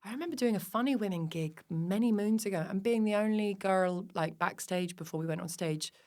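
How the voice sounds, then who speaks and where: A woman's voice: mid-range voice, brisk, a little mellow. A British woman speaking as a comedian guest in a casual interview, rambling and emphatic, with some swearing. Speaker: clown performer and teacher.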